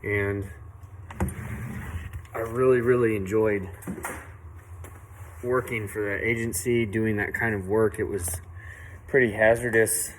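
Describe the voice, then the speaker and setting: A young man from western Illinois who owns a tree service tells how he started, in an unhurried, earnest way with many fillers and restarts. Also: lowish voice, steady tone, a little hiss or hum, low-quality recording. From western Illinois, USA. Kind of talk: casual personal story to camera. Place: outdoors at a work site, doing saw maintenance.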